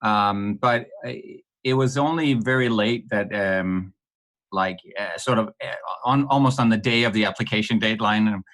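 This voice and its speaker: A man's voice: lowish voice, measured pace, fairly bright tone, rough recording. A middle-aged man from Denmark with a Danish accent, a podcast guest who talks hesitantly, with many ums, restarts and light laughs. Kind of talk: podcast interview.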